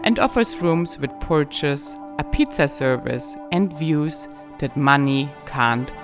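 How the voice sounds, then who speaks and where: A woman's voice: low-pitched, expressive, slow. A woman who is not a native English speaker, vlogging an enthusiastic road trip in a chatty voice-over. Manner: chatty and enthusiastic, slightly non-native phrasing. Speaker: travel vlogger. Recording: guitar music playing underneath.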